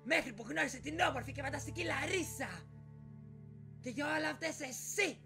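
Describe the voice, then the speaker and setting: A woman's voice: high-pitched, vocal fry, bright, forceful tone, faint music behind, heavily acted. A woman voicing a bitter, spiteful young villainess in a cartoon parody dub, scornful and bossy, stretching words for emphasis. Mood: bitter, resentful.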